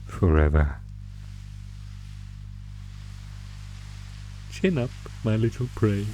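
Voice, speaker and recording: A low-voiced male monster, acted by a man, drawls cruel taunts to captive prey. The delivery is theatrical and unhurried, in the style of an ASMR horror roleplay. Music plays clearly beneath the voice. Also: mellow. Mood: menacing, gloating.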